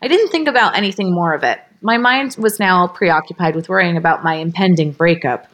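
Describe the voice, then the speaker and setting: A woman reading a story aloud as a podcast host, informal and expressive, ready to step out of the narration for asides. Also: lowish voice, fairly quick, a little hiss or hum. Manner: expressive, breaks character, giggly slips and asides.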